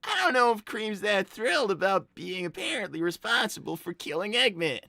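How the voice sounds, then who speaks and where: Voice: mid-range voice, sing-song, fairly quick, vocal fry, pushed and bright, no room sound, clean studio sound, exaggerated voice. A performer voicing a young boy fox, stammering and exasperated as he argues with his mother in an improvised livestream roleplay. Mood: explaining. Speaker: character on a live roleplay show. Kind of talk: improvised in-character livestream chat.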